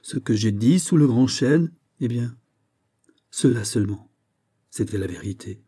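A man's voice: mid-range voice, expressive, measured pace, dark tone, slightly rough, no echo, studio quality. A man voicing a desperate young maiden of the royal court in an audiobook, pleading passionately with breathless exclamations.

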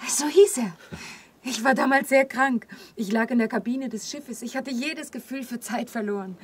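A woman's voice: on the high side, normal speed. An actress playing a wistful, vulnerable young woman in a German radio play, hesitant and pensive in her delivery. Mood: troubled, wounded. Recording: clearly audible background ambience. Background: ambience noise.

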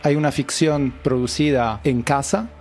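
A man's voice: medium pitch, steady tone, normal speed, darkish tone, faint music behind. A man hosting a radio show, introducing guests in a chatty, joking way. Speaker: radio host. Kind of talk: on-air radio interview.

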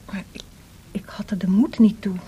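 Mellow, candid female confidante. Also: medium pitch, fairly even intonation, normal speed. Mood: hesitant, confiding. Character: female piano pupil and confidante, candid, concerned, inquisitive. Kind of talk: Dutch radio drama acting. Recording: clearly noisy archive radio recording.

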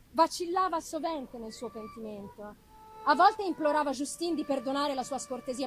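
A woman voicing an affectionate, anxious young gentlewoman in a theatrical, unhurried reading of a letter from a gothic novel. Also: high-pitched, low-quality recording. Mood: grave, affectionate. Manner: expressive, unhurried dramatic delivery with pauses. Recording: live music behind the voice.

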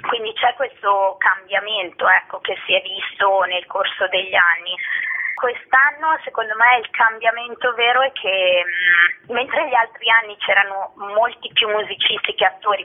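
A woman interviewed on the radio, speaking conversationally and pausing to search for words. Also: medium pitch. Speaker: interviewee, event organizer. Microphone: telephone. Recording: thin phone line on radio.

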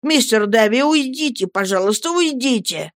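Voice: mid-range voice, animated, moderate pace, no room sound, clean studio sound, voice pushed up, natural voice. A narrator voicing a distraught young fisherman in an audiobook, pleading in a strained, broken voice. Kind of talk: audiobook character acting.

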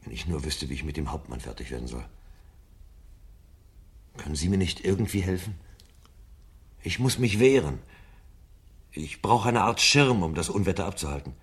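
Radio drama lead: troubled, blunt fireman, deep register, wide-swinging delivery, earnest. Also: lively intonation, normal speed, pauses often, a bit bright. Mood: urgent pleading. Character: troubled, forceful male fireman seeking guidance. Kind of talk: 1970s German radio play acting.

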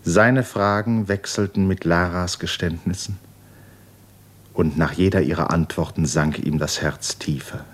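Deep-voiced male radio drama narrator. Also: fairly even intonation, measured pace.

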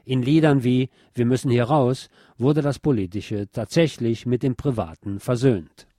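A man presenting a scripted cultural history piece in a measured, articulate, academic manner. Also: mid-range voice, dark tone. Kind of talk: scripted essayistic narration on music history.

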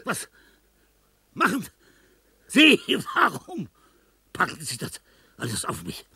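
An actor playing a dying, lonely old artist in a radio play, delirious and fearful, in a husky, breathy voice that speaks in halting fragments. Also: male voice, high voice, huge pitch swings, pushed and bright, no echo, studio quality, full character voice. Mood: panicked. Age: older. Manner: halting fragments, whispers rising to frantic cries. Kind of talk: German radio play acting.

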